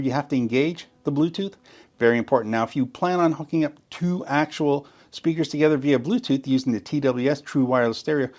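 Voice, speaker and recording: Middle-aged guy explaining speaker setup, excited, keeps saying stuff is very important. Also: medium pitch. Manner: enthusiastic, explanatory, repeats "very important". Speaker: gear demonstrator. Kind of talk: tutorial walkthrough.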